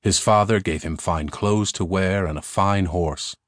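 A man's voice: sits low, measured pace, no echo, studio quality. A man narrating a fairy tale audiobook in a scripted storytelling style. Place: recording studio.

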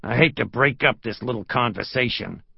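A man's voice: moderate pace, creaky, dry recording, dull audio. A man voicing an overbearing, self-important police lieutenant in an audiobook, with a menacing, sarcastic bark. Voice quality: menacing, low-pitched. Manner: sarcastic, barking. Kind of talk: audiobook character voice.

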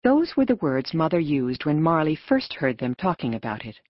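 A woman narrating an audiobook story in an expressive storytelling manner. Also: low-pitched, normal speed, no echo, muffled recording.

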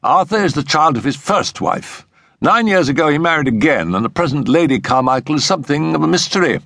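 A man's voice: on the high side, expressive, brisk, gravelly, fairly bright tone, no room sound, clean studio sound, voice pushed up. A man voicing an anxious, frank and earnest male doctor in an audiobook story. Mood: confiding.

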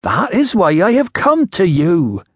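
Audiobook role: proud, indignant ancient tribeswoman, played by a male narrator, raised pitch, slow and emphatic, blunt. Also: wildly swooping pitch, husky, no room sound, heavily acted. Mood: insistent, indignant.

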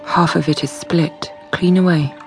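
A young governess narrating in the first person. Her delivery is intimate, measured and intense. Strong music runs beneath her, as in a radio dramatization. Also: fairly low pitch, steady tone, rough recording. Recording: prominent music under the narration.

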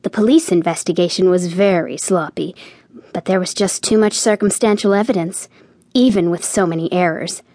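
Woman playing a dreamy teenage girl, breathy and husky. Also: lowish voice, normal speed, mellow, dry recording, studio quality, natural voice. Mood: dreamy, confiding. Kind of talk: audio drama monologue and dialogue.

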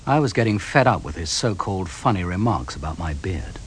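A dry, put-upon young police officer tells a crime story for radio. His husky, fairly low voice moves freely up and down through clipped descriptions. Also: big pitch swings, brisk, heavily acted. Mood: weary, dry. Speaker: detective sidekick and narrator character. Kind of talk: first-person narration in radio crime drama.

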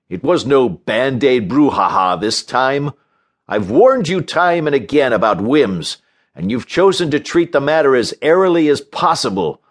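A man's voice: mid-range voice, voice pushed up. A man voicing an irate, sarcastic, pompously eloquent research doctor in a comic storybook reading. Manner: snarling, indignant, pompous wording. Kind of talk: audiobook character voice in a comic story.

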